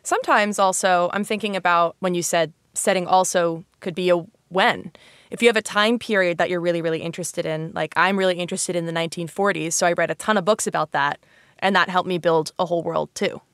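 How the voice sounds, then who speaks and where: A woman's voice: on the low side, steady tone, brisk, fairly bright tone, dry recording, clean studio sound. A woman hosting a casual educational interview, chatty, informal and curious. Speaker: podcast host interviewing a guest.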